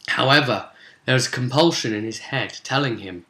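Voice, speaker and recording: A man speaking casually as a game master, recapping a tabletop role-play session with animated, dramatic emphasis. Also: mid-range voice, moderate pace. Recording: roomy, echoey sound.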